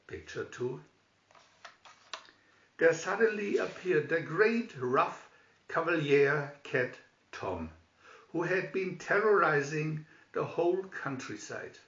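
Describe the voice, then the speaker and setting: A man reading a children's story aloud in an expressive, theatrical manner, as a scripted narration. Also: fairly high pitch, slow pace. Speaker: storybook reader. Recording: echoey room, distant dull microphone.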